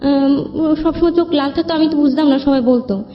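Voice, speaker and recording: High-pitched young Bangladeshi woman, muffled audio. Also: fairly even intonation, brisk. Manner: colloquial, rambling narrative. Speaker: guest telling her life story. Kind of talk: personal storytelling in an interview. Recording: muffled lo-fi audio, music behind. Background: background music.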